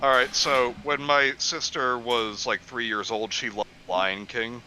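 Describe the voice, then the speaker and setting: A man's voice: medium pitch, steady tone, measured pace, pushed and bright, a little hiss or hum, rough recording. A man in a casual group chat, co-commentating with snarky, self-deprecating jokes.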